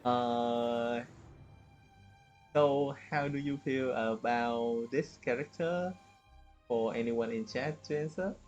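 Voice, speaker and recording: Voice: mid-range voice, takes it slowly, a little mellow. Streamer chatting casually to viewers, bit hesitant, muffled lo-fi mic, faint music behind. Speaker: livestreamer playing a game. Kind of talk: casual livestream chat. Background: faint game music.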